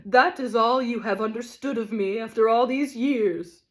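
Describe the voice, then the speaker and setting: Woman reading as a grandly bitter stage-tragedian ghost, loud, slow, self-pitying. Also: on the high side, pitched above the performer's own voice, close to an everyday voice, poor audio. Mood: wounded. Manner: theatrical, declaiming, bitter. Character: pompous self-pitying male ghost actor.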